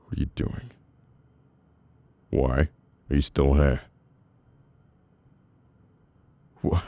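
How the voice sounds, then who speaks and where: An extremely deep, gravelly British mafia boss, acted by a man for an audio roleplay. He is cold, dominant and menacing, giving orders slowly with long deliberate pauses. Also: fairly even intonation, warm tone, exaggerated voice. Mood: dismissive, commanding. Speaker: voice actor. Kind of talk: ASMR audio roleplay, slow dramatic monologue.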